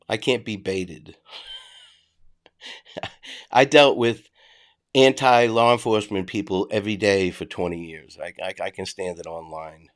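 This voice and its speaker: Blunt Boston man chatting live. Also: lowish voice. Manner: blunt, conversational, frequent restarts. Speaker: livestream host reading chat. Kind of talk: casual livestream chat.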